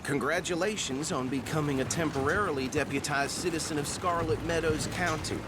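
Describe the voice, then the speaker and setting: An actor playing an earnest, chatty small-town deputy in a western game, self-important and folksy, with a Southern US accent. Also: male voice, on the high side, a little fast, background noise, close to an everyday voice. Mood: officious, proud. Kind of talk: western video game acting.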